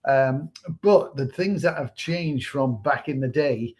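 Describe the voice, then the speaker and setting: A man from England with a Manchester accent, co-hosting a casual online talk show in a relaxed, conversational way. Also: medium pitch, slow. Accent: Manchester English. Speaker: co-host.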